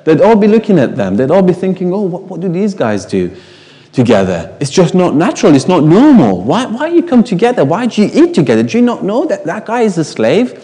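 Lively preacher man with Farsi roots. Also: sits high, brisk, long reverb tail. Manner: animated, emphatic with rhetorical questions. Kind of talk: sermon.

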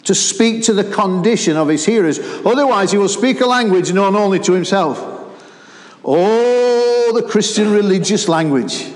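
Middle-aged Lancashire man preaching with animated energy, fairly high voice, echoing church hall. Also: moderate pace. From Lancashire, England. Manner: animated, calls to the congregation. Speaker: guest preacher. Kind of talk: sermon. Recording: roomy hall with long echo.

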